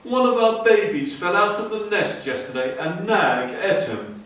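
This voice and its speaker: A man's voice: expressive, unhurried, a bit bright. A man voicing a small, anxious bird parent with plaintive urgency in a storybook reading. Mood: miserable. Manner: high-pitched put-on voice, plaintive and urgent. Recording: very echoey room, distant, faint noise.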